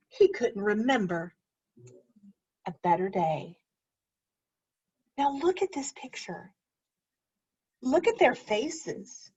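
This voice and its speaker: A woman teacher reading a picture book aloud to children, pausing to explain words and ask gentle questions. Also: on the high side, slightly rough, no room sound, dull audio.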